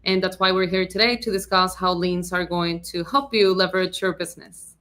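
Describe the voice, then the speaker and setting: A woman's voice: on the low side, fairly even intonation, a little fast, fairly bright tone. A woman in her thirties with a Venezuelan Spanish accent, a Spanish native speaker answering interview questions as an attorney in a friendly, earnest way. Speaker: attorney, podcast guest.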